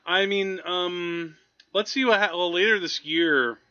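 A man with a forceful, bright voice talks casually as a podcast co-host. He is animated and tends to hesitate mid-thought. The sound is dry and close, a little lo-fi. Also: sits high, a little slow, no room sound. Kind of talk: casual movie chat.

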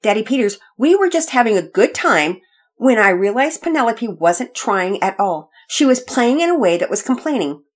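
A woman voicing a bossy, impatient young boy in a children's story, loud and commanding. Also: a little high, big pitch swings, moderate pace, pitched above the performer's own voice. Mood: self-justifying.

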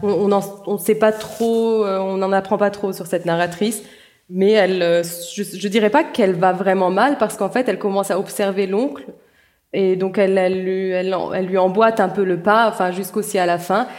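A woman's voice: mid-range voice, steady tone, moderate pace, darkish tone. A woman novelist answering interview questions about her first book, thoughtful and hesitant, with frequent restarts and fillers. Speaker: novelist guest.

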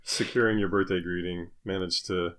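A man's voice: low-pitched, fairly even intonation, normal speed, a bit bright, slight background noise. A man co-hosting a comedy podcast in casual banter, with dry mock-serious irony and a hesitant, self-interrupting delivery. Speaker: podcast co-host. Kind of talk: casual comedy banter, reading joke news items.

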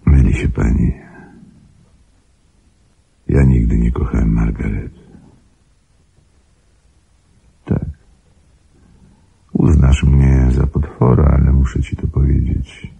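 An actor playing a brooding, controlling older gentleman in a radio play, with a gravelly, husky voice and a measured, weighty delivery. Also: rumbling low, little pitch movement, exaggerated voice. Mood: grave, bitter. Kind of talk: Polish radio play acting.

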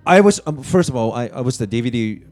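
A man from Japan, a comedian guest on a podcast, talking in a soft, halting way with many fillers. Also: medium pitch, expressive. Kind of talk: casual podcast chat.

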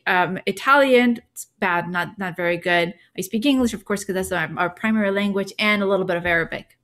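A woman with a slight Indian accent, talking as a doctor guest in a podcast interview. Her voice sits at a middle pitch, and she explains fluently and enthusiastically. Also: fairly bright tone. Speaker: physician guest.

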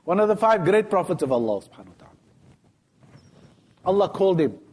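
Man, preacher, high voice, very lively pitch, casual storytelling sermon. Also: quick pace, husky. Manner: storytelling, retelling scenes in everyday speech with lively quoted dialogue. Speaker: sheikh giving a Friday talk. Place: mosque.

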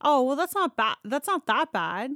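A woman's voice: sits high, sing-song, moderate pace, fairly bright tone, dry recording, clean studio sound. A Canadian woman telling stories casually on a podcast, candid and sweary, often acting out dialogue as she goes. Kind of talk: casual conversational storytelling.

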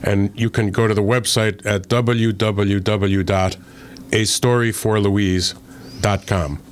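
Low, smooth-voiced man from Connecticut. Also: fairly even intonation. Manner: measured, thoughtful, with many filler "uh"s. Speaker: author, interview guest. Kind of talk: relaxed interview conversation.

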